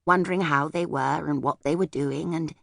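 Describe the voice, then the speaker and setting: A woman voicing a reserved, wistful older lady in an audiobook, speaking gently and hesitantly as she looks back. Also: sits low, fairly even intonation, moderate pace, creaky, no room sound, clean studio sound. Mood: reflective. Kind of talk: audiobook character voice.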